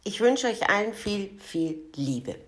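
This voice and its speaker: A woman's voice: medium pitch, very animated, slow pace, a bit bright. A woman of fifty-five giving a short personal statement.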